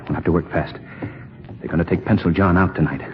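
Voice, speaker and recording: An actor playing a shrewd, composed gentleman sleuth in a 1940s radio drama, confident and matter-of-fact. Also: low-pitched, fast talker, natural voice. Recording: muffled, noisy 1940s radio recording.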